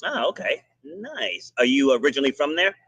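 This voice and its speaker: A man hosting a podcast, giving a motivational monologue and interviewing a remote guest in bursts of quick, restarting speech. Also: medium pitch, very animated, fairly bright tone, breathy edge. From Rhode Island. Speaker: podcast host.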